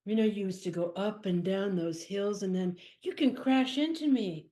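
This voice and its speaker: A woman's voice: mid-range voice, normal speed, mellow, lightly acted. A woman in an improv scene playing a female teddy bear who longs to be bought, talking in a dramatic, fond way. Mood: coaxing. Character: wistful unsold female teddy bear, longing.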